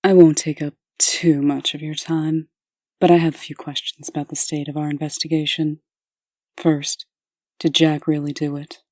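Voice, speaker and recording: A woman's voice: sits low, little pitch movement, moderate pace, a little mellow, dry recording, studio quality. A woman playing a serious, determined official in a comic dub, speaking in a precise, earnest and insistent way. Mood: businesslike. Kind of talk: comic dub character acting.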